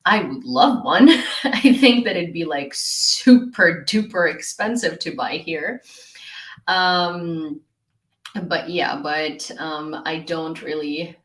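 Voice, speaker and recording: A woman's voice: sits low, slow pace, roomy sound. A young woman from Ukraine chatting casually on a live stream and reading viewers' messages, giggling often and trailing off into fillers and restarts.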